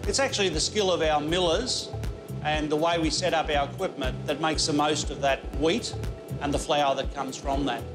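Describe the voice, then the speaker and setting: A man's voice: fairly high pitch, fairly even intonation, over music. Australian man explaining flour milling. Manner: measured, explanatory. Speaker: flour mill manager. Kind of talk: interview answers. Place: inside a flour mill control room.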